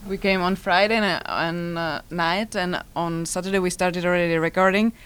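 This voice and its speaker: Woman speaking simple, halting English. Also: on the low side, fairly even intonation, a bit bright, smooth. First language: not English. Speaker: touring jazz musician. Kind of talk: casual interview chat.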